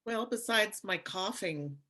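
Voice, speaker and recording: A woman's voice: medium pitch, lively intonation, a little slow, crowd noise. A woman, an audio drama actress, chatting casually within a group.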